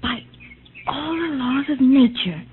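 An actress in a 1950s radio drama playing a frightened, tender young wife with breathless, melodramatic delivery, on a noisy old recording. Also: female voice, high-pitched, expressive, takes it slowly, narrow, tinny audio. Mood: awed, excited.

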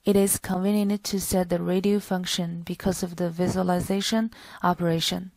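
A woman's voice: fairly low pitch, level tone, normal speed, darkish tone, no room sound. A woman reading a scripted product tutorial in a stilted, phrase-by-phrase way. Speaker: product demonstrator.

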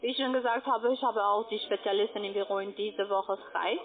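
A woman's voice: mid-range voice, fairly even intonation, fairly quick. A woman call centre agent with a foreign accent, not a native speaker, explaining things on the phone. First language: not German. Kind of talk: phone sales conversation. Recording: tinny phone line, busy call centre.

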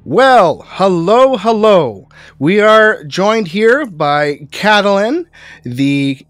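Young male podcast co-host, stuffy and congested, halting delivery, dry close recording. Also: high-pitched, very animated, a bit bright, dry recording. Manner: halting, with filler words. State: congested, recovering from illness. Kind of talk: podcast interview. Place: home studio. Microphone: headset microphone.

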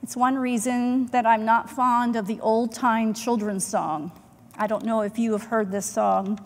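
Slow, earnest woman pastor preaching. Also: on the high side, steady tone, a little mellow. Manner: measured, earnest preaching with deliberate pauses. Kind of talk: sermon. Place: church sanctuary, in front of the chancel. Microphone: pulpit microphone, far camera. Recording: long church hall reverb.

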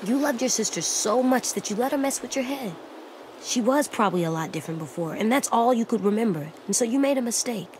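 A woman voicing a blunt, guarded little boy survivor in a video game, speaking in terse, halting lines. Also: fairly high pitch, lively intonation, a little hiss or hum, close to an everyday voice, poor audio. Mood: earnest, forgiving. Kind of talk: naturalistic video game dialogue acting. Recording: faint outdoor stream ambience.

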